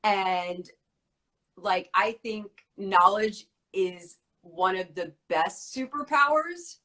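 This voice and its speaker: A young woman chatting as an interview guest, her voice strained and a little worn because she is losing it. She talks fast and bubbly, with plenty of filler words. Her pitch sits in the middle. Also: bright, forceful tone. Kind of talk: casual interview chat.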